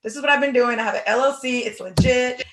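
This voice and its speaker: A woman in her thirties from Oakland, hosting a podcast in animated, casual conversation with lots of "like". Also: high-pitched, fast talker. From Oakland, California. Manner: animated, talks in long runs with fillers like "like". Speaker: podcast host. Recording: roomy echo.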